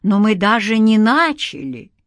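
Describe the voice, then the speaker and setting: A woman voicing an ancient male undead forest demon in a storybook, with a deathly, hushed, insinuating and mocking delivery. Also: on the high side, gravelly, no echo, clean studio sound. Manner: slow, mocking, menacing.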